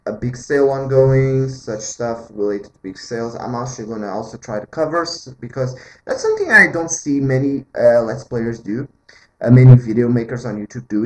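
A man from Portugal with a Portuguese accent, a native Portuguese speaker, giving casual, unscripted gaming commentary in a halting, earnest way, often rephrasing. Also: medium pitch, roomy sound, rough recording. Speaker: gaming video creator.

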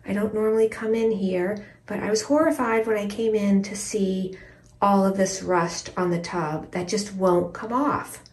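A woman in her forties chatting casually in an expressive, emphatic way, as a home cleaning vlogger. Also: mid-range voice, measured pace. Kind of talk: casual vlog chat and narration while cleaning. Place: small tiled bathroom, indoors. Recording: roomy bathroom echo, distant mic.

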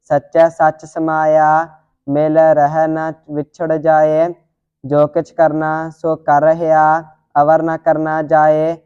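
Monotone, slow scripture recitation. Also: medium pitch, smooth. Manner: steady, monotone, deliberate. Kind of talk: devotional scripture recitation, read line by line. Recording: dull lo-fi audio.

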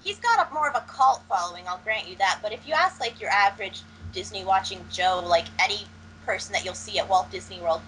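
A young woman co-hosting a casual film discussion over a video call from home, quick, wry and teasing as she argues her opinions. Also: mid-range voice, lively intonation, a bit bright, slight background noise, poor audio. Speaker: podcast co-host. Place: home room, indoors.

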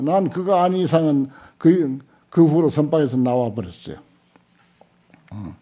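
Mellow elderly monk lecturing. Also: male voice, fairly high pitch. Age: older, 70s or above. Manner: unhurried, halting with frequent interjections, emphatic repetition. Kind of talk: Buddhist scripture lecture. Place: indoor lecture hall with seated audience. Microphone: desk stand microphones.

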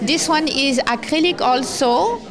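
A woman's voice: high voice, expressive, unhurried, crowd noise. A woman from Guadeloupe, an artist, giving short grateful replies. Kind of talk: casual interview. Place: indoor art gallery. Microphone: handheld mic held by interviewer.

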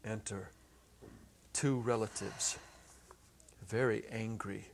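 Middle-aged male storyteller, unhurried and deliberate, dramatic pauses, faint noise on an old tape. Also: fairly low pitch, fairly even intonation, creaky. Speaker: story reader, teacher. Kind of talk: dramatic reading of a literary short story. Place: small indoor set. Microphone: lapel microphone. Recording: noisy old videotape recording.

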